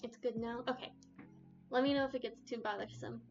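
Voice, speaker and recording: A soft-spoken young woman with a quiet voice, chatting hesitantly and giggling often on a casual live stream. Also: a little high, fairly even intonation, fairly quick, muffled recording. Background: soft background music.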